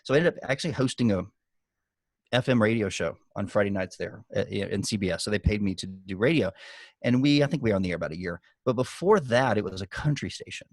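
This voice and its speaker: A man's voice: lowish voice, expressive, fast talker, no room sound. A man giving business advice on a group video call as a guest coach, talking conversationally and emphatically, with anecdotes.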